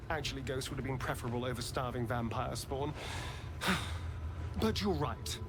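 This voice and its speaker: An English actor playing a proud, wounded, sardonic vampire spawn in a video game, theatrical and drawling. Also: male voice, mid-range voice, animated, normal speed, noisy background, natural voice. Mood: weary, conflicted. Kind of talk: dramatic video game acting.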